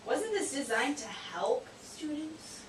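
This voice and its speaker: Cheeky high-pitched puppet sidekick, voiced by a woman in an echoey room. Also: very animated, slow pace, heavily acted. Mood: curious, cheeky. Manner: playful, exclamatory, wisecracking. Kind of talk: scripted comic puppet show banter. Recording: echoey, distant, noisy room.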